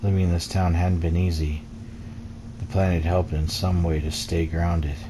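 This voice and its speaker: A man reading a first-person story aloud in a measured, brooding, dramatic way, as narration for a video game playthrough. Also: low-pitched, little pitch movement, noisy background. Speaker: let's play gamer reading game text aloud.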